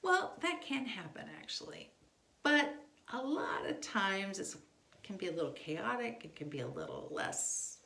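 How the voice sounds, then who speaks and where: A woman's voice: medium pitch, huge pitch swings, measured pace, gravelly, pushed and bright. A middle-aged woman gives an informal instructional talk, speaking conversationally and leaning on repeated words for emphasis. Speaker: homeschool instructor. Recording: echoey, roomy sound.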